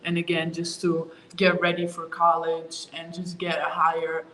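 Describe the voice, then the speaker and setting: A woman's voice: on the low side, moderate pace, a little hiss or hum. Young woman in an echoey room. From Ukraine. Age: young adult. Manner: relaxed, easygoing, many fillers. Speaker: college basketball player. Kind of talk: casual interview answers.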